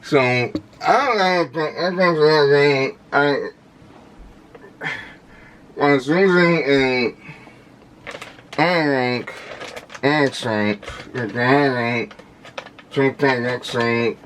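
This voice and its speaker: Slow, halting man over clear background noise. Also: medium pitch, a bit bright. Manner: halting, repeats words often, indistinct speech. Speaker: vlogger unboxing audio gear. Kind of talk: casual unscripted unboxing talk.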